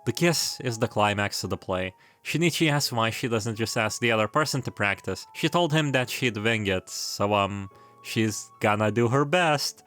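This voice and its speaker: Male video essayist, lower register, casual storytelling delivery with dry asides, light music underneath. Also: expressive, normal speed, fairly bright tone. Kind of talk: casual retrospective narration of a visual novel. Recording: music behind the voice. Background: background music.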